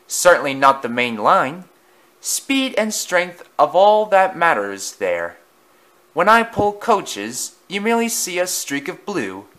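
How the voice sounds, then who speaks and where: A man's voice: fairly high pitch, very animated, fairly bright tone, pitched above the performer's own voice, full character voice. A man putting on a pompous boastful engine. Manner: grandiose, drawn-out, theatrical. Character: pompous boastful male engine, big and vain. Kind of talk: storybook voice acting.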